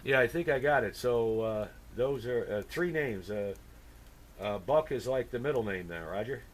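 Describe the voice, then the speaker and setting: Male ham operator, mid-pitched voice, halting casual radio chat, some background noise. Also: expressive. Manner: halting, thinking aloud, spells with phonetic alphabet.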